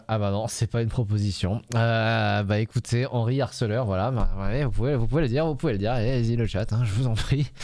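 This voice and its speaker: Male streamer, French accent, mid-pitched voice, casual game commentary, chatty and teasing. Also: mellow. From France. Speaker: streamer playing a dating game.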